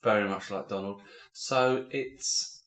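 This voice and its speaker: A man's voice: lowish voice, steady tone, takes it slowly, a bit bright. A man, co-hosting a podcast in a jokey, bantering chat. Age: 40s. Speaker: podcast co-host. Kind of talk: casual chat. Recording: roomy echo.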